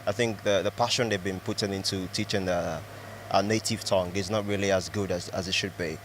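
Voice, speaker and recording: A man from Nigeria answering a street interview, thoughtful and hesitant, pausing with fillers. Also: sits low, fairly even intonation, a bit bright, a little hiss or hum.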